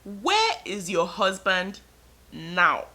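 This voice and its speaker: Woman playing a composed Nigerian dad with a Nigerian accent, measured and firm, bright tone. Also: high voice, exaggerated voice. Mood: calm questioning. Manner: calm, measured questioning. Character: calm middle-aged Nigerian father, questioning firmly.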